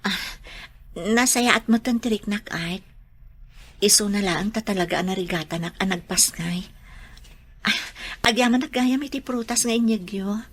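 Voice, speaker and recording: A woman's voice: medium pitch, moderate pace, pauses often, slightly rough, lightly acted. A woman with an Ilocano accent acting a wronged, stubborn young mother and wife in a radio drama. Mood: soft, grateful.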